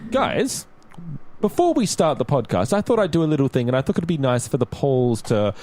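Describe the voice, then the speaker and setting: A man's voice: mid-range voice, big pitch swings, brisk, darkish tone. A man hosting a lighthearted podcast, chatting in a jokey, teasing way and reading out listener emails. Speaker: podcast host.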